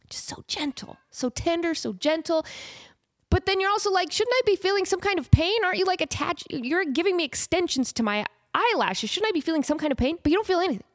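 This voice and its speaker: A woman in her thirties who hosts a podcast, chatting casually with a stuffed-up, nasal voice, laughing often and acting out little quotes. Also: extremely high pitch. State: stuffed-up nose. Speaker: podcast host. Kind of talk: casual chat.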